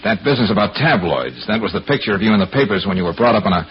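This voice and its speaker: An actor playing a confident, wry, wisecracking private investigator in 1940s radio acting style. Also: male voice, on the low side, expressive, racing, close to an everyday voice. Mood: polite, businesslike. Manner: breezy, wry, bantering. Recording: muffled, noisy old radio recording.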